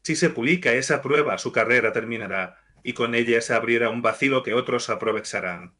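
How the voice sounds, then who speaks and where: A man's voice: mid-range voice, brisk, bright, forceful tone. A man playing an anxious political messenger who presses and insinuates. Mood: tense.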